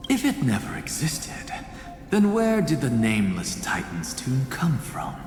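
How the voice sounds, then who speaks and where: A man playing a proud, sharp-minded scholar in a video game, measured, dryly confident and challenging. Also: mid-range voice, huge pitch swings. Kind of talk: dramatic video game acting. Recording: long hall reverb over music.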